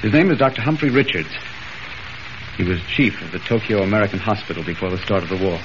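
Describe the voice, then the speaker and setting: An actor playing a solemn, resigned hospital doctor, measured in the manner of 1940s radio drama. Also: male voice, sits low, close to an everyday voice. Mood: grave. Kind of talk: 1940s radio serial acting. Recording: noisy, muffled vintage radio recording.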